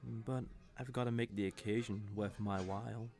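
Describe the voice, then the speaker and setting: A man's voice: fairly low pitch, fairly even intonation, creaky, faint music behind. A man playing a cocky, suave fantasy villain who teases and flirts smugly in an audio roleplay. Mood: smug, teasing. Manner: smug, playful, unhurried teasing with soft chuckles. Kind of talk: scripted audio roleplay addressed to the listener.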